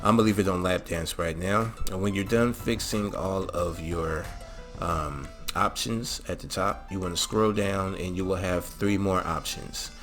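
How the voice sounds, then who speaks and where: A man's voice: low-pitched, measured pace, fairly bright tone, faint music behind. A man narrating a casual step-by-step game tutorial, instructing and often checking in with the listener. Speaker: host of a game mod tutorial.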